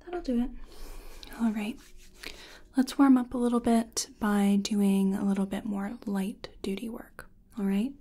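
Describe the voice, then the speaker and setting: A woman speaking softly and often in a whisper, gently guiding the listener through an ASMR personal attention roleplay as an energy healer. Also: medium pitch, steady tone. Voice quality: soft, breathy, often whispering. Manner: gentle, unhurried, reassuring. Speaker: ASMR performer playing an energy healing practitioner.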